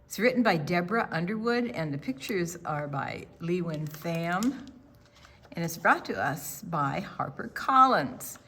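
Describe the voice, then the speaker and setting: Older woman, slow expressive storyteller. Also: on the low side, slight background noise. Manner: deliberate, expressive, addresses listeners with questions. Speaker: children's storytime reader. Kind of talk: read-aloud storytelling for young children with chatty asides.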